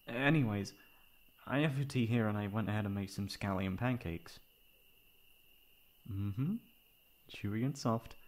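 A man playing a caring, protective young best friend in an intimate ASMR roleplay, with a soft, breathy voice. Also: on the low side. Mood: flustered, then affectionate, playful. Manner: intimate, comforting, casual. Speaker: voice actor.

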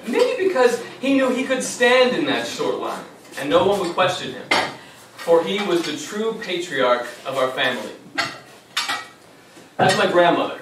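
Young man, acting affectionate grandson, stage play, lively intonation, distant mic, roomy echo. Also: high voice, normal speed. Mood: wry, fond. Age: young adult. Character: affectionate, self-conscious grown grandson narrating family memories. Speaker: stage actor. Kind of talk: theatrical narration and dialogue before an audience. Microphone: far camera microphone. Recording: echoey theater, distant mic, faint noise.

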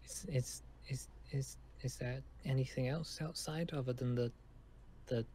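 A man's voice: mid-range voice, steady tone, unhurried, warm tone, slight background noise, lightly acted. A man playing a nervous, awkward but polite survivor in a Minecraft roleplay, hesitant and often stammering over repeated words. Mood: uneasy.

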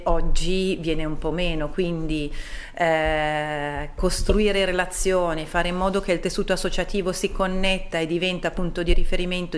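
A middle-aged woman with a low voice speaks into a table mic in a TV studio. She explains things earnestly and at a measured pace, pausing often to gather her thoughts. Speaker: town mayor, guest. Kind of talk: studio interview.

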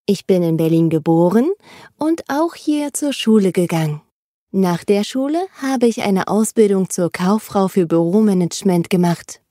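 A woman reading first-person texts aloud for language learners, with a steady, neutral scripted delivery. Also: mid-range voice, no echo, clean studio sound. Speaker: reader of a language-learning text.

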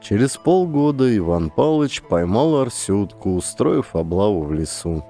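Guy narrating a story audiobook, very low mellow voice, soft music under it. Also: big pitch swings, normal speed. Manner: earnest, warm direct appeal to listeners. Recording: faint background music.

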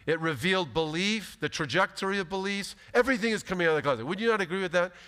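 A pastor in his fifties giving an animated, emphatic sermon from a church stage. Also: high-pitched, racing. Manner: animated, emphatic preaching with big gestures. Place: large church stage, indoors. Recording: long hall reverb.

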